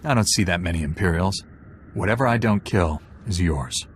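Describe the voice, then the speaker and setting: A cool, intimidating space-knight warrior acted by a man, low in pitch. His delivery is blunt and authoritative game dialogue, with faint noise behind. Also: normal speed, natural voice. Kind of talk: dramatic video game acting.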